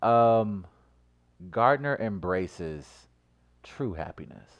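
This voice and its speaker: A man co-hosting a radio show, teasing his co-workers and breaking into loud mock speeches. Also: lowish voice, animated, very slow, clean tone, highs cut off. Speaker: co-host. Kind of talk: joking radio banter.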